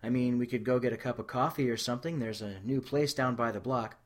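A man's voice: mid-range voice, fairly even intonation, fast talker, natural voice. A man voicing a weary, caring older woman in a story reading, conversational and coaxing. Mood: coaxing, casual. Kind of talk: audio fan fiction reading.

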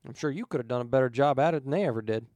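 A man's voice: mid-range voice, rapid-fire, darkish tone, dry recording, close to an everyday voice. A man playing a folksy, menacing former preacher turned villain in a story reading. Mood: curious. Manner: folksy, rustic phrasing.

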